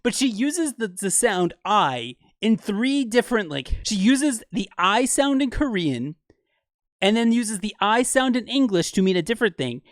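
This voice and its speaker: A man breaking down music in an enthusiastic, explanatory way for a video, with fillers and quick asides. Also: high-pitched, very animated, no echo. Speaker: video commentator.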